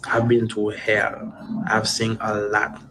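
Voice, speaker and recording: A man from Haiti giving earnest personal testimony in a serious, measured way. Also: on the low side, completely flat delivery, fairly bright tone.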